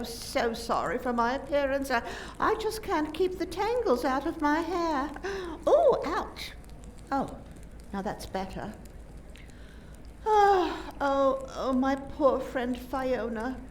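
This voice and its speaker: A flustered, clumsy lady, acted by a woman in a radio drama, speaks in a very high, plaintive voice. She draws her words out slowly and haltingly, with theatrical feeling, over a faint background noise. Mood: flustered, apologetic, then distraught. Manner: plaintive, drawn-out, halting self-corrections. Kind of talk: community theater radio play acting.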